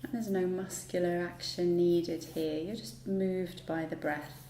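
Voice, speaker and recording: A woman leads a guided yoga relaxation in a mellow, dark-toned voice. She gives each instruction soothingly and without hurry, pausing at length between them. The recording sounds roomy, with faint noise behind her. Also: fairly low pitch, fairly even intonation. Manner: soothing, unhurried instruction with long pauses. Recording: roomy echo, light background noise.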